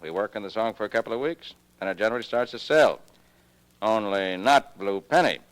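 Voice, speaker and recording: An actor playing a brusque, wry music publisher with slangy grumbling talk in a 1940s radio detective drama. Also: male voice, fairly low pitch, brisk, clear, resonant tone, natural voice, poor audio. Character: gruff, wisecracking music publisher, grumbling about sales.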